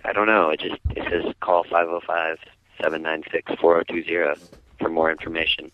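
A man calling in to a casual show over an internet phone line, halting and repeating his words as he goes. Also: low-pitched, flat delivery. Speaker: caller to a call-in show. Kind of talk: casual chat. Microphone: phone call through internet voice service.

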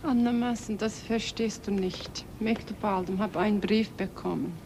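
A woman's voice: mid-range voice, measured pace, noisy background, natural voice. An actress in a radio play playing a worried, reserved woman who is a native Turkish speaker and talks hesitantly. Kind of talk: German radio play acting.

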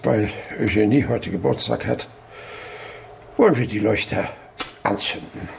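Creaky, gruff old miser. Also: male voice, fairly low pitch, unhurried, slight background noise. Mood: blustering. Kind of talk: radio drama acting.

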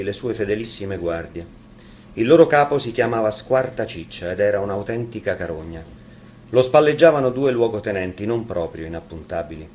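A man's voice: on the low side, darkish tone, slight background noise. A man reading a fairy tale expressively, as a storyteller.

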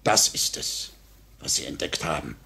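An actor playing a smug, cynical police chief in a 1950 radio play, suave and sardonic, coaxing and mocking in a measured theatrical way. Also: male voice, very deep voice, expressive, creaky, pushed and bright, heavily acted. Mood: insinuating.

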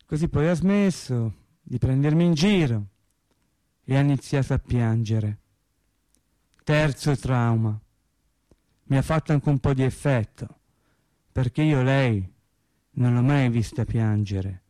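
An actor playing a naive working-class man in a radio drama monologue, telling his sad story in a colloquial, halting, wry way. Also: medium pitch, mellow, no room sound, natural voice. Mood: wry, bewildered. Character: naive working-class man recounting his cancer and death, resigned, tender.

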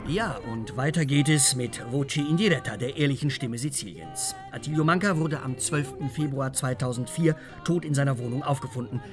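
A man's voice: medium pitch, a little fast, hardly any pauses, lightly acted. Actor as earnest male radio host over music. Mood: grave, informative. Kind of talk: radio presenting in a radio drama.

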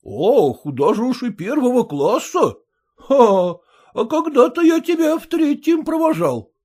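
A chatty village schoolteacher teases an old friend in a storybook reading, as a male narrator puts him on. His voice sits high and swings widely. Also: very animated, measured pace, a little mellow, exaggerated voice. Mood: teasing. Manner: teasing, talkative.

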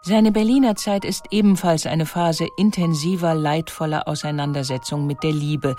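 A woman reading scripted documentary narration in a measured, articulate way. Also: sits low, steady tone, mellow, soft background music.

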